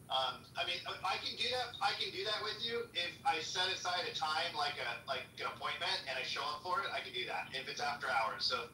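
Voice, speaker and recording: A man with a high, nasal voice, talking casually and thinking aloud, swearing now and then. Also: animated, a little fast, hardly any pauses, pushed and bright. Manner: casual, self-reflective, swearing. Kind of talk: casual chat. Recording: echoey room, distant mic, faint noise.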